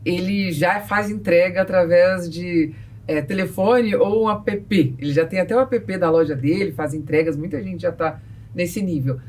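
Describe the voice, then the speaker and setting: A woman's voice: on the low side, moderate pace, darkish tone. A woman with a Brazilian accent hosting a conversational podcast discussion. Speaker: podcast host. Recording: distant mic, faint background noise.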